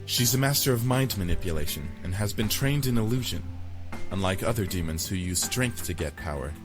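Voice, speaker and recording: Knowledgeable demon acted by a man, lowish, calm, matter-of-fact, music underneath. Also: a little fast, close to an everyday voice. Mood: calm, serious. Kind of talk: visual novel voice acting.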